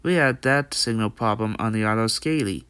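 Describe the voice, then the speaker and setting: A man acts out a friendly young stallion from a fan-made cartoon dub. He is chatty and practical, explaining things earnestly in a clean, smooth voice. The sound is dry and close. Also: lowish voice, fairly quick, a bit bright, no room sound, close to an everyday voice. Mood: earnest, pondering. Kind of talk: amateur comic dub voice acting.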